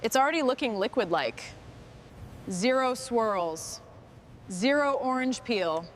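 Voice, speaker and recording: A woman with a fairly high voice talks herself through restoration work. Her remarks are short, clipped and approving. Faint ambient and vehicle noise sits behind her. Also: expressive, takes it slowly. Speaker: restorer narrating own work. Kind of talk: short task commentary during vehicle restoration.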